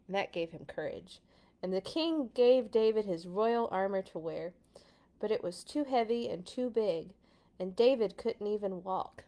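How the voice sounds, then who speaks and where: Female children's read-aloud host: unhurried, expressive, playful, informal. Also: medium pitch. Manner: dramatic, playful sound effects. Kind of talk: expressive storybook reading with casual asides.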